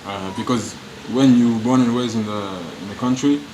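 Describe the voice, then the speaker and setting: A man from France answering press questions in halting, searching English, restarting his sentences often. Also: medium pitch, dark tone, slight background noise, low-quality recording. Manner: halting non-native English, frequent restarts. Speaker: professional footballer. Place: media room.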